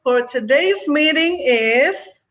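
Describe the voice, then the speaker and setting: Woman host, high voice, slow and cheerful, on a video call. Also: lively intonation, fairly bright tone. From Indonesia. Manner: cheerful, encouraging, unhurried. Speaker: meeting host, Toastmaster of the day. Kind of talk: online club meeting hosting.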